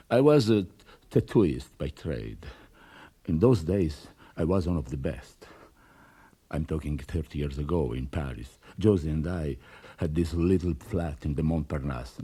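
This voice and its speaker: A shabby, proud old former tradesman in a radio play. His voice is very deep and weary, moving slowly and haltingly, yet it can swing widely in pitch. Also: animated, warm tone, husky, full character voice. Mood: wistful reminiscing. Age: older. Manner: halting, pleading, with sudden outbursts. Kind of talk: radio drama acting.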